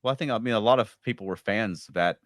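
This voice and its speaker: A man on a fan discussion panel, chatting casually in an animated, agreeable way. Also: lowish voice, quick pace, no room sound, clean studio sound. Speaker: podcast panelist.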